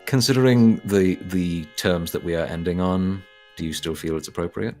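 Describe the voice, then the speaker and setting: A man's voice: sits low, normal speed, a little mellow, soft background music. A man chatting casually and narrating during a tabletop roleplaying game.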